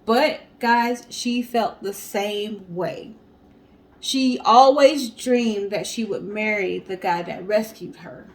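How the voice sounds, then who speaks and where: A woman co-hosting a show, telling stories and chatting casually to the audience in an animated way. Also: medium pitch, takes it slowly. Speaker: co-host of a storytelling guessing show. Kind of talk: casual storytelling and chat to viewers.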